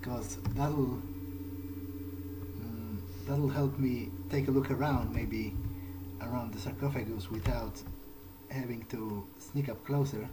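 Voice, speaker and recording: A man playing a game in character, thinking aloud in a halting, musing way. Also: medium pitch, steady tone, background noise.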